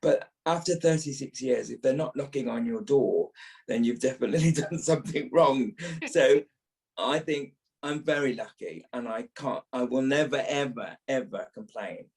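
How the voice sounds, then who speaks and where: A man's voice: mid-range voice, moderate pace, gravelly, a little mellow. A man in his fifties speaking as an actor being interviewed, reflective, stressing points by repeating phrases. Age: 50s.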